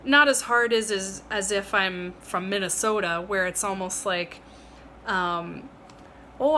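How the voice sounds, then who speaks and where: Chatty Canadian woman, bright voice. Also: on the high side, slight background noise. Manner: chatty, rambling, with frequent ums and restarts. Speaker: vlogger. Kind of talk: casual chat to camera.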